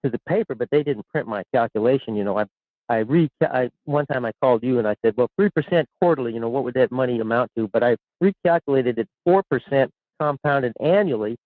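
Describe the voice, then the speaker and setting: A man's voice: medium pitch, fast talker, no room sound. A man in his sixties calling in to a radio show, rambling and repeating himself. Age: 60s. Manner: rambling, repeats words, chuckles. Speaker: caller. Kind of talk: call-in radio chat. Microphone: telephone. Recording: tinny phone line.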